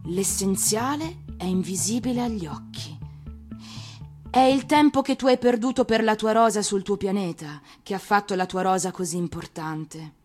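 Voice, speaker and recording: A woman voicing a gentle, earnest female fox who explains things patiently in an expressive storybook manner. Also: medium pitch, normal speed, soft background music, natural voice. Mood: tender, solemn.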